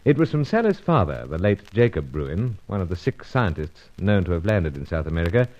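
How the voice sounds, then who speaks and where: An actor playing an unflappable British secret agent in a radio serial, with a clipped, understated British manner of speaking, on a dull old recording. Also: male voice, deep voice, sing-song, fairly quick, a little mellow. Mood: measured, narrating. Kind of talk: old-time radio serial acting and narration.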